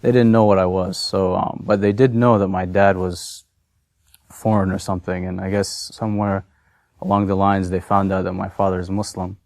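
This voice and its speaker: Man, 30s, from Massachusetts, deep voice, monotone, hesitant with lots of fillers. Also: normal speed, dry recording, studio quality. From Massachusetts, USA. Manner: hesitant, many fillers and restarts. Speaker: convert sharing his story. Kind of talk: personal testimony, unscripted.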